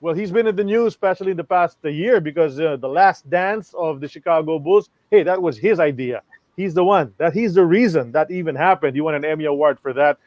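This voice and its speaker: A man's voice: a little high, fairly quick, darkish tone, no echo. A man hosting a podcast who introduces the guest and asks questions in a casual way. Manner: casual, with frequent fillers. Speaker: podcast host.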